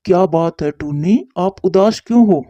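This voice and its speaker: A man's voice: high-pitched, moderate pace, mellow, dry recording, lightly acted. A man voicing a patient, devoted husband pigeon in a cartoon story, earnest and consoling, in a dull lo-fi recording. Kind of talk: Hindi cartoon story dialogue.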